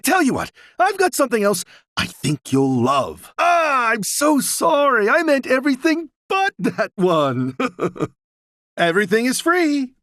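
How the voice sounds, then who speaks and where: An actress playing a friendly, apologetic street vendor in a video game. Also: female voice, fairly high pitch, wildly swooping pitch, measured pace, fairly bright tone, no room sound, studio quality, exaggerated voice. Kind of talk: video game character dialogue.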